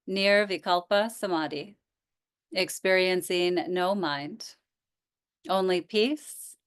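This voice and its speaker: A woman leads a guided relaxation as a yoga nidra teacher. Her voice is smooth and clear, set a little low. She speaks very slowly and gently, leaving pauses and inviting rather than instructing.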